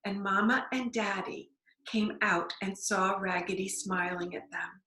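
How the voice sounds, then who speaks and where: A woman reading a storybook aloud to young children, deliberately, with simple explanatory asides. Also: mid-range voice, fairly even intonation, sharp tone. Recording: echoey room.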